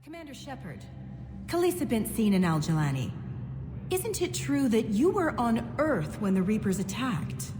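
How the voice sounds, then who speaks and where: A pushy news reporter from a video game. She speaks with pointed, impassioned insistence at a medium pitch. Her voice rings in a large hall, with music clearly behind it. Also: big pitch swings, normal speed. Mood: accusing. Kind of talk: dramatic video game dialogue.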